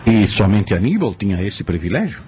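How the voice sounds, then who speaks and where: A man's voice: fairly low pitch, sing-song, a little fast, mellow, breathy edge, heavily acted. An actor playing a perplexed, inquisitive man who questions a spirit guide in a radio drama. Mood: curious. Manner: questioning, puzzled.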